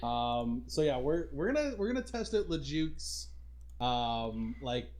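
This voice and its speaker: A man's voice: medium pitch, big pitch swings, measured pace, darkish tone. A man hosting a casual livestream podcast, talking informally and addressing his viewers as guys. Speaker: podcast host.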